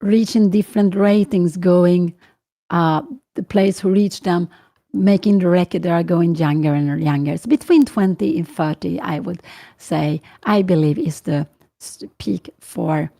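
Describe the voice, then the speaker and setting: A woman's voice: lowish voice, unhurried, creaky, dark tone. A woman in her sixties with a Swedish accent, giving live chess commentary and answering questions, thinking aloud with many fillers and self-corrections. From Sweden. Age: 60s.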